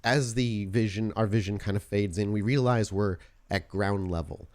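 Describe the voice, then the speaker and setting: A man hosting and narrating a tabletop roleplaying podcast as storyteller, informal in chat and deliberate when setting scenes. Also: lowish voice, a little mellow. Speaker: podcast host and game storyteller.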